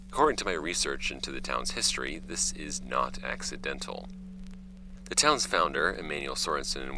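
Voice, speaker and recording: An actor playing a wry, offhand young man narrating his homemade podcast about his late uncle's files in an audio drama, with a gravelly voice. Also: noisy background, exaggerated voice. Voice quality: very deep, gravelly. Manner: wry, offhand, halting when ad-libbing, measured when reading.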